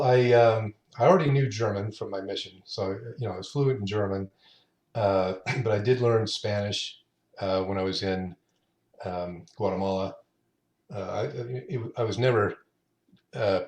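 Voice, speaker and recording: A man in his late fifties chatting as a podcast guest, talking unhurriedly with halting restarts. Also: lowish voice, darkish tone. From Utah. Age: 50s. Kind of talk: casual interview chat. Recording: roomy echo.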